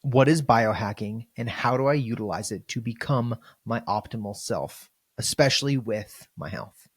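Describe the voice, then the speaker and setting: A man's voice: medium pitch, normal speed, slightly rough. A man hosting a podcast interview remotely, upbeat and effusive, asking long rambling questions. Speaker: podcast host. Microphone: remote, video call.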